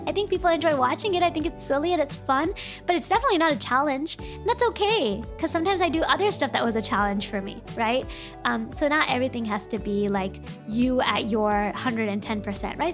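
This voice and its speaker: A woman's voice: sits high, expressive, quick pace. A woman VTuber chatting casually on a stream, with a slightly broken voice and a rambling, chatty way of talking. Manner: rambling, chatty, answers chat. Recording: faint music behind.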